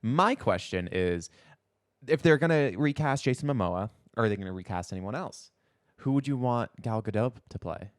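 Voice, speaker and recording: A man's voice: medium pitch, expressive, moderate pace. A man co-hosting a podcast, chatting casually about superhero films in an agreeable, tentative way. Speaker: podcast co-host.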